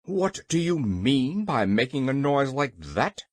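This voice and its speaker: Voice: mid-range voice, very animated, measured pace, breathy edge, no echo, studio quality. A narrator voicing a pompous, tyrannical little gnome king in a storybook, roaring and exclaiming. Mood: startled. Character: blustering, excitable little male gnome king, tyrannical villain.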